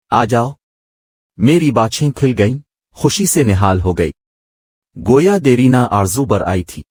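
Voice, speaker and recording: Male story narrator, low and husky register, scripted moral-tale reading, very dry sound. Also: a little mellow, no echo.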